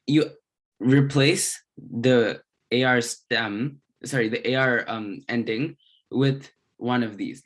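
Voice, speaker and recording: Casting: male tutor with a medium-pitched voice, explaining a lesson step by step in halting, broken-up phrases. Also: fairly bright tone. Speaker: Spanish grammar tutor. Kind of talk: slide-based language lesson, explanatory.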